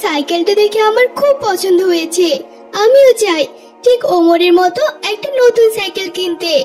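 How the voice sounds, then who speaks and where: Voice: extremely high pitch, normal speed, darkish tone. A performer voicing an eager, stubborn young schoolboy in a cartoon, with music behind. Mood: pleading. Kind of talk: cartoon voice acting.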